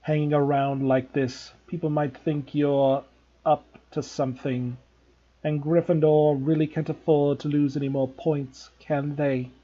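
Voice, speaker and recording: A menacing male schoolmaster who drawls slowly, smooth and sneering, as a man reads him. The tone is dark and husky. The recording is dull and lo-fi. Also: fairly high pitch, level tone.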